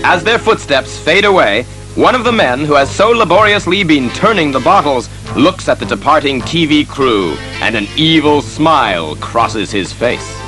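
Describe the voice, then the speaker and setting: A male narrator delivers dramatic scripted storytelling over clear background music. His voice is slightly high and very expressive, with portentous phrasing and a reverberant ring. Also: moderate pace. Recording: echoey reverb, music behind the voice.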